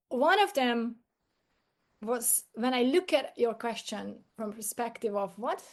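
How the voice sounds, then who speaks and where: Middle aged hungarian-accented woman, kinda high voice, chatting thru personal stories as an interview guest. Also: darkish tone. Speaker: podcast guest, coach.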